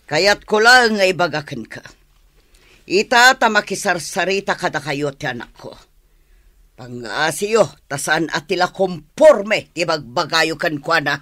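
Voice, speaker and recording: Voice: mid-range voice, expressive, a little slow, sharp tone, no room sound, clean studio sound. A performer playing a confrontational, defensive middle-aged mother in a Filipino radio drama.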